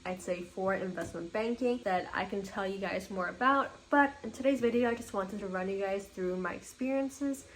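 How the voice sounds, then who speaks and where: A woman's voice: mid-range voice, normal speed, hardly any pauses, a little hiss or hum, rough recording. A young woman in her twenties, a native Mandarin speaker, walking viewers through her resume in an upbeat, orderly vlog. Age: 20s. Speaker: vlogger.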